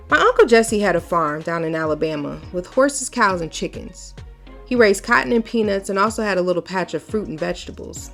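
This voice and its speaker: Storytime narrator, American woman, slightly low register, expressive emphatic read-aloud, faint music underneath. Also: gravelly. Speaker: storytime host reading a book aloud.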